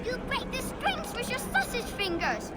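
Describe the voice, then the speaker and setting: A woman voicing a cheeky, bossy, mischievous child in a video game, with loud ambience behind. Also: extremely high pitch, sing-song, normal speed, loud music behind the voice. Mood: bickering.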